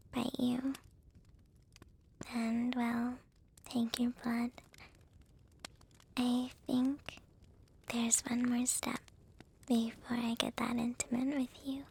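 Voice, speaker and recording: A woman playing a shy, gentle young vampire with a crush in a whispered ASMR roleplay, speaking softly and haltingly with a breathy voice. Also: a little high, full character voice. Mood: tender, intimate. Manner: hesitant, halting, soft-spoken.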